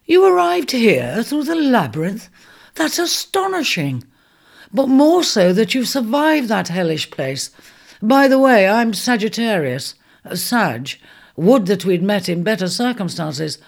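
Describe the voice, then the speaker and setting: A woman's voice: medium pitch, sing-song, a little slow, creaky, voice pushed up, full character voice. A woman voicing a robust, hearty male centaur who speaks in a big, amused, open-minded way. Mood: startled.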